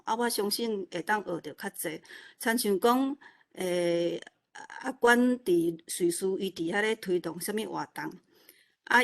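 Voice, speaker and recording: A woman with a Taiwanese accent speaking informally and modestly in an online meeting, rambling and repeating words as she searches for them. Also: mid-range voice. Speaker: new organiser of an online literature event. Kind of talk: informal unscripted self-introduction.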